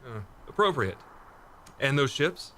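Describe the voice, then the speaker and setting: Worn-out male space commander, acted by a man, sci-fi radio drama: terse, wary, tense mid-pitched voice over faint ambience. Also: sing-song, unhurried, sharp tone, slight background noise. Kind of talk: sci-fi radio play acting.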